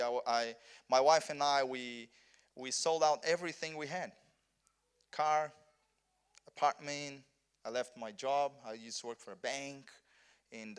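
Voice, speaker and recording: A man with a Brazilian accent preaches a conference sermon in English, his second language. He is earnest and keeps restarting sentences and repeating words. His voice sits at an ordinary middle pitch. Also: smooth. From southern Brazil. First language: Portuguese. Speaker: pastor.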